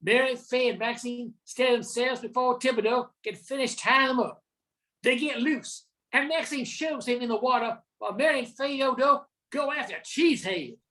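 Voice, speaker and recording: Older female narrator, bright and forceful tone, scripted folk-tale storytelling. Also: on the high side, moderate pace, low-quality recording.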